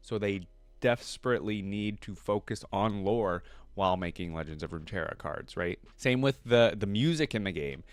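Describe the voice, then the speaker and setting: A man's voice: lowish voice, normal speed, no room sound. A man hosting a casual reaction video, talking in a rambling, chatty way with lots of fillers and restarts. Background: faint music playing.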